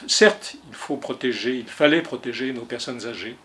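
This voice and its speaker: A man's voice: medium pitch, moderate pace, fairly bright tone. A man, a doctor, giving a firm, emphatic interview answer about vaccination.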